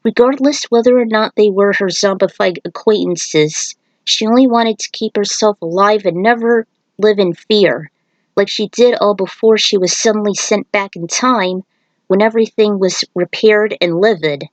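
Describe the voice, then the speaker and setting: A woman's voice: mid-range voice, no room sound, rough recording. A woman narrating a fan fiction story.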